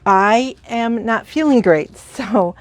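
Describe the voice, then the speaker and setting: A woman's voice: on the high side, slow, no echo. A congested, sniffling woman chatting through a crafting tutorial, rambling with lots of fillers and gushing about supplies. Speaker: craft demonstrator.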